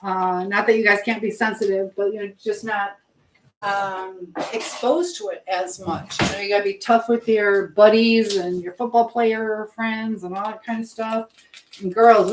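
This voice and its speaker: Woman, livestream host, informal rambling chat, echoey kitchen, faint background noise. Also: medium pitch. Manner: informal, rambling, reading out and answering comments. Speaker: livestream host chatting with viewers. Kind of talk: casual live chat while baking. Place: home kitchen.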